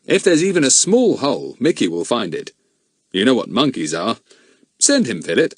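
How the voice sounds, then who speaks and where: A man voicing a calm, practical grown man who speaks firmly and reasons things out. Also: medium pitch, breathy edge, dry recording, clean studio sound. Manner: firm, reasoning, brisk.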